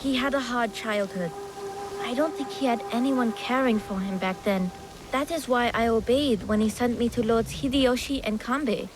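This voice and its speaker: An actor voicing a dutiful, earnest young son of a feudal lord in a video game cutscene. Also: male voice, very high-pitched, normal speed, noisy background. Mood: polite, wistful.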